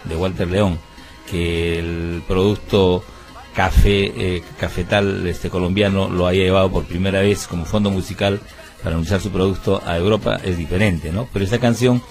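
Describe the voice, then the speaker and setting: A low-voiced man with a Peruvian Spanish accent, speaking as a musician guest on a radio interview. He talks earnestly and at length, full of hesitations and restarts. Faint music plays behind him. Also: fairly even intonation, normal speed. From Peru. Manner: earnest, many fillers and restarts. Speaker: musician, band founder.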